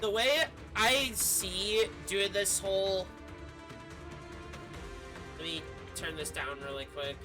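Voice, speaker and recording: A man's voice: high-pitched, takes it slowly, long pauses, over music. A man giving casual gameplay commentary as a streamer.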